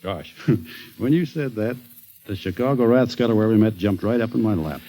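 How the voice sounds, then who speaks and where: An actor playing a boyish, easygoing ballplayer husband in a 1940s radio drama. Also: male voice, lowish voice, normal speed, warm tone, close to an everyday voice. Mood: affectionate. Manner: easygoing, folksy.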